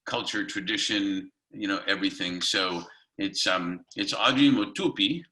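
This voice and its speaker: A man's voice: on the low side, moderate pace, bright, forceful tone, poor audio. A middle-aged man hosting an interview over a video call from home, hesitant with many ums and repeats. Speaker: interview host. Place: home room.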